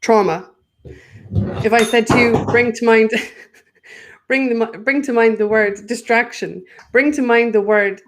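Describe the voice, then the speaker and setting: A woman's voice: a little high, steady tone, a little slow, long pauses, a little hiss or hum, poor audio. A woman with an Irish accent, a guest in a spiritual conversation, speaking earnestly and often breaking off to restart her thought.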